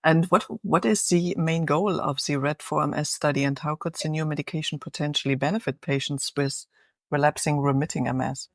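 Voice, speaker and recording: Podcast host, mid-pitched voice, dry close sound, friendly questions. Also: fairly even intonation, a little fast, no echo. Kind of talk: podcast interview hosting.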